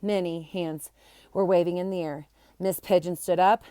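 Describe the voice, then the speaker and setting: A woman reading a children's chapter book aloud in an expressive, animated storytelling manner. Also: on the low side, normal speed, smooth, dry recording. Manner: animated, acts out character voices. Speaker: storybook reader.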